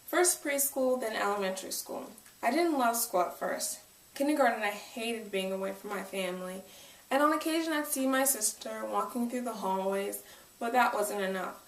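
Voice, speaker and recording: A woman's voice: on the high side, lively intonation, normal speed. A woman in her twenties with an American accent, giving a prepared autobiographical speech. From United States. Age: 20s. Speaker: speaker, self-published author. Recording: echoey room, distant microphone.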